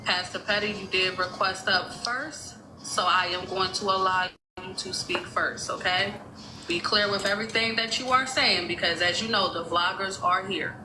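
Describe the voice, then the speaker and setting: A woman's voice: medium pitch, normal speed, over music. A woman hosting a mock courtroom on a live stream, calling up jurors and keeping order. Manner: directive, runs the proceedings. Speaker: mock court judge, host. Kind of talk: casual livestream hosting. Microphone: phone on live stream. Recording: echoey room with noise.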